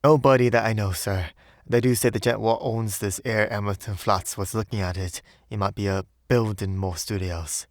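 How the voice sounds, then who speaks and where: A man's voice: on the low side, steady tone, moderate pace, no echo, studio quality, lightly acted. A man voicing a young Cockney bellboy in an audiobook, deferential but indignant and uneasy, speaking ungrammatically. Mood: grumbling, indignant. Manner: ungrammatical, servile, peppered with "sir". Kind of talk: audiobook character voice.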